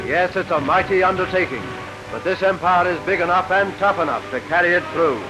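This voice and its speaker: A man's voice: high voice, a little fast, poor audio. A British man reading scripted wartime newsreel commentary in a rousing, declamatory manner, on a vintage 1940s film soundtrack. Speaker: newsreel narrator. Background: loud newsreel music.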